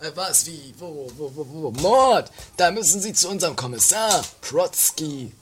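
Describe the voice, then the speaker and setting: A woman's voice: sits low, expressive, unhurried, slight background noise. A woman playing a bumbling, smug male police sergeant in a comedic radio play, pompous yet stammering and hesitant. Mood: confused, stammering.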